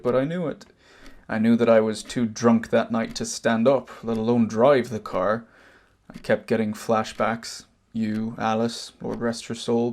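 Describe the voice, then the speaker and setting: An amateur actor with an Irish accent playing a wronged older brother back from abroad, telling his story in a deliberate, pointed way. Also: medium pitch, natural voice. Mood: grave, pointed. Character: wronged older emigrant brother, cancer survivor, measured, bitter. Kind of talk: amateur stage drama acting, long monologue.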